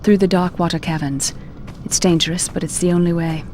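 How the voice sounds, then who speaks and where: Low-pitched, gentle elf woman played by an actress. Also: fairly even intonation, a little fast, a little hiss or hum, lightly acted. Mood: concerned. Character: gentle, helpful female wood elf ally. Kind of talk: video game cutscene acting. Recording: clear music under the voice.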